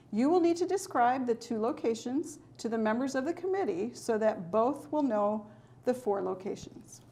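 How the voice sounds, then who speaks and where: A middle-aged woman examiner calmly explaining the stages of a spoken English test in a clear, measured way. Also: a little high. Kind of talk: scripted test instructions.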